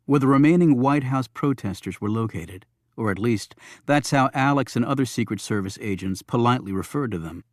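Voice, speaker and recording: A man's voice: medium pitch, no echo, clean studio sound. A man reading scripted thriller narration as an audiobook narrator.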